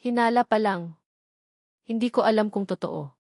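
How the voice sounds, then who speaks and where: A woman voicing a guilt-ridden, frustrated man with an anxious, halting manner in an audiobook. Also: medium pitch, darkish tone, dry recording, studio quality, lightly acted. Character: guilt-ridden, frustrated man caught by his best friend.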